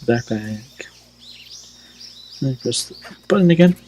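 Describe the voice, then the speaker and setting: A man giving casual live commentary on a game, halting and offhand, in short clipped fragments. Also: medium pitch, lively intonation, darkish tone. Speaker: gameplay commentator.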